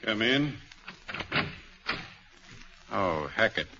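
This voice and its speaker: Slow, low-voiced aging matinee idol. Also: male voice, expressive, full character voice. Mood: wry. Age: middle-aged. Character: aging, dissolute, suave matinee idol actor. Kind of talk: old-time radio drama acting. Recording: muffled, noisy vintage radio recording.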